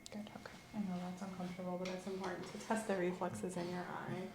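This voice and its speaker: A woman doing an ASMR medical roleplay as an examining clinician, giving calm, gently coaxing clinical instructions. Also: fairly low pitch, fast. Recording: roomy echo, faint background hiss.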